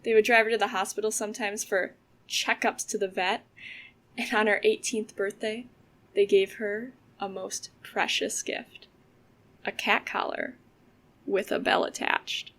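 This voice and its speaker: A young woman acting as a storyteller in an audio drama, with a creaky voice. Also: mid-range voice, little pitch movement, unhurried, fairly bright tone. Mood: measured, eerie storytelling. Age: young adult. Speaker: student audio drama actor.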